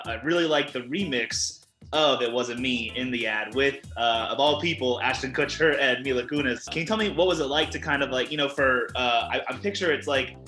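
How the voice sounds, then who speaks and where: A man's voice: mid-range voice, bright, forceful tone. A man interviewing a guest, asking questions in a friendly, hesitant way with many fillers. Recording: roomy echo, faint music.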